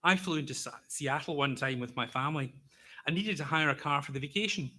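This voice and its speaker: Bright-voiced Scottish man, wry storyteller. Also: on the high side. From Scotland. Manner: wry, gently humorous storytelling; deliberately slow, careful delivery. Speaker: eulogy speaker, brother of the deceased. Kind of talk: prepared memorial speech.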